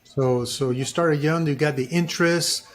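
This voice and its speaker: A man hosting an interview podcast, talking in a deliberate way and repeating phrases for emphasis. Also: on the high side. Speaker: podcast host.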